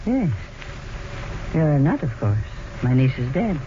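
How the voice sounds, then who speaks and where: Suave elderly male schemer, falsely polite, mannered 1940s radio acting, very animated intonation, muffled noisy old recording. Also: a little high, takes it slowly. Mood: courteous, insinuating. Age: older.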